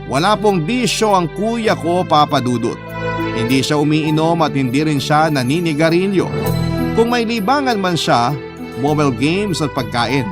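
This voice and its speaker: A fairly high-pitched actor as a young man tells a family story in the first person. He sounds fond and nostalgic about his older brother, with music clearly playing underneath. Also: lively intonation, moderate pace, close to an everyday voice. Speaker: narrator of a letter story.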